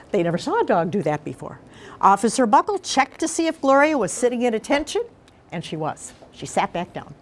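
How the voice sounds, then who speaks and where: A woman reading a picture book aloud to schoolchildren, pausing to explain things and ask them questions. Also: fairly high pitch, sing-song, slight background noise. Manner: explanatory, pauses to question the children.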